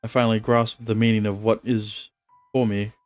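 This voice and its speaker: A male streamer who reads visual novel narration aloud in a steady tone and chats casually between lines. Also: on the low side, moderate pace, faint music behind.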